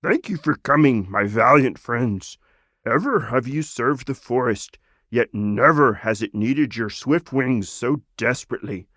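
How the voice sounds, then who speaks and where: A man's voice: medium pitch, animated, measured pace, a bit bright, dry recording, clean studio sound, pitched above the performer's own voice. A man voicing a proud, noble lizard wizard in a storybook, speaking in a solemn, declamatory way. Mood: rousing, commanding.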